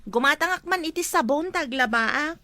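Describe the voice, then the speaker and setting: High-pitched Ilocano village woman, acted. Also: lively intonation, moderate pace, sharp tone. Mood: curious. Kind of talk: Ilocano comedy drama acting.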